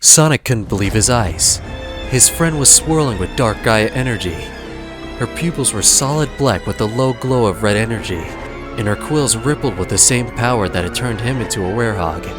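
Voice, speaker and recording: A man narrates a scripted audio drama in a fairly low voice. Music plays clearly behind him. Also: lively intonation, normal speed. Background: clear background music.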